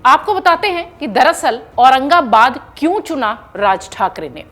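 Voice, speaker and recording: A woman's voice: high voice, big pitch swings, measured pace, a bit bright, soft background music. A woman news presenter narrating an explainer in an emphatic, explanatory manner, like a reporter walking viewers through a story.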